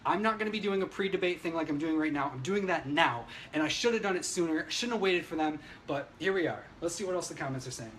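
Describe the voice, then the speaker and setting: Male livestreamer, high-pitched voice, brash and agitated, sweary off-the-cuff political commentary, noisy audio. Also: expressive, fast, few pauses, fairly bright tone. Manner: brash, opinionated, frequent swearing; emphatic, agitated delivery. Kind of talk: unscripted ranting livestream, reading viewer comments.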